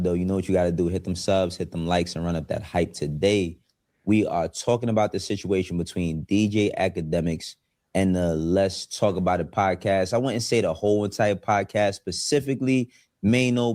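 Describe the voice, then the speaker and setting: A man in his forties hosts his own online show in a laid-back, streetwise way. His voice sits very low. The recording is dry and close. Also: fairly even intonation, normal speed, a little mellow, no echo. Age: 40s. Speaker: YouTube show host. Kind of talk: casual commentary.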